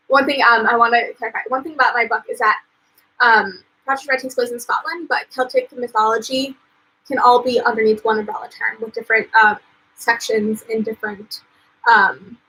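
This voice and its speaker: A young woman from the United States chats to viewers on a live stream from home. Her voice sits a little high, bright and a touch husky. She rambles warmly through filler words and laughs between thoughts. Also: poor audio. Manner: chatty, lots of "um" and "like", laughs often. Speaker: author and vlogger. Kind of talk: casual live-stream chat.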